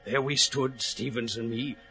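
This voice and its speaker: An actor playing a worried, plain-spoken racehorse trainer in a 1940s radio drama, earnest and respectful, with rustic turns of phrase. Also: male voice, medium pitch, fairly even intonation, measured pace, sharp tone, faint music behind, rough recording. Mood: hushed, eerie. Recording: old 1940s radio recording.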